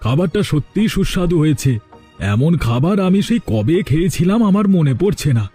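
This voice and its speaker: A man's voice: fairly high pitch, expressive, fairly quick, dark tone, breathy edge, faint music behind. A man voicing a trusting, wronged village husband in a melodramatic cartoon, theatrical and plaintive. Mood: pleased.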